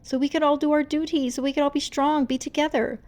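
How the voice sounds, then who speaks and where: Woman acting a frantic, high-voiced young half-demon girl. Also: steady tone, quick pace, darkish tone, close to an everyday voice. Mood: pleading. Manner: frantic, breathy cries and pleading.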